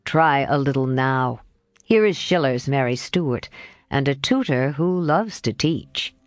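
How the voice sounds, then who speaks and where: A woman voicing a kind, quietly wistful young male tutor in a storybook reading. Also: a bass voice, lively intonation, measured pace, no echo, voice pushed down.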